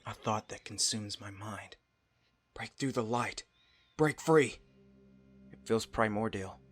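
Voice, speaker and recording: A man's voice: on the low side, slow. A man playing an earnest, newly awakened engineered angel soldier in a visual novel game. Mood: brooding. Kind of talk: visual novel voice acting, inner monologue and dialogue.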